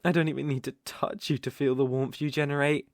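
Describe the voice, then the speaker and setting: A man voicing a coaxing, possessive naga monster in a roleplay ASMR audio drama, with a creaky, teasing delivery. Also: medium pitch, steady tone, no room sound, studio quality, natural voice. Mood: possessive, warning. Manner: slow, coaxing, teasing with soft chuckles. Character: smooth-talking male naga monster, coaxing, possessive predator.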